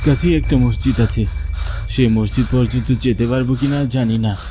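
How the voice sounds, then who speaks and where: A man's voice: medium pitch, fairly even intonation, moderate pace, noisy background. A man reading a scripted horror story as a dramatic first-person narrator.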